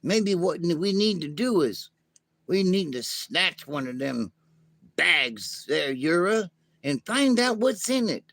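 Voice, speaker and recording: A man voicing a short, bumbling male alien who talks in a high, excitable, folksy way. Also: takes it slowly, creaky, no echo, full character voice. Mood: scheming.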